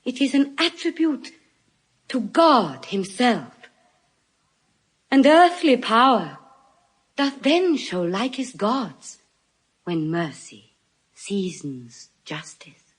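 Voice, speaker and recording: An actress playing an eloquent, solemn young lawyer in an audio Shakespeare drama, measured and earnest, often dropping to hushed emphasis. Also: female voice, fairly high pitch, very animated, husky, full character voice. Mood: solemn pleading. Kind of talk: classical stage acting in audio Shakespeare.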